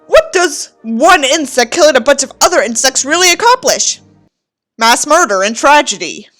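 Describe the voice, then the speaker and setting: A woman's voice: high-pitched, animated, normal speed, fairly bright tone. A woman voicing a bitter, self-important nihilistic teenage boy in a theatrical, contemptuous dramatic reading of his journal, over music. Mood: bleak, pompous.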